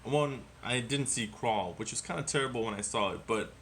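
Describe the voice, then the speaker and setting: A man co-hosting a casual movie review podcast, talking in an animated, rambling way and swearing often. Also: mid-range voice, normal speed, slight background noise. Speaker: podcast co-host.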